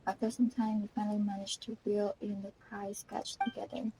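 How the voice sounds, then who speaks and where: A woman game streamer with a soft-toned, mellow voice at a middling pitch. She reads story text aloud and reacts casually while game music plays behind her. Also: level tone, fairly quick, poor audio.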